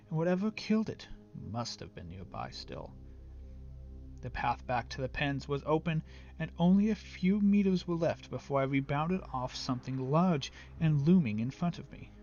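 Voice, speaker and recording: A man reading a scripted story as a narrator, with a measured, literary and theatrical delivery. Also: mid-range voice, expressive, darkish tone, muffled recording, faint music behind. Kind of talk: dramatic scripted audiobook narration.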